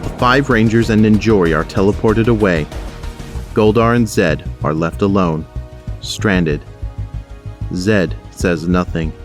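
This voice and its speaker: A man's voice: fairly low pitch, unhurried, faint music behind. A man narrating an action audio drama from a script.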